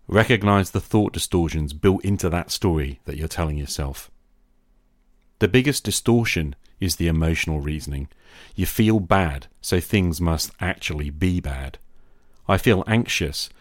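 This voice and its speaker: A middle-aged man hosting a podcast, delivering a reflective scripted monologue in a measured, confessional manner. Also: low voice, no room sound, clean studio sound. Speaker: podcast host.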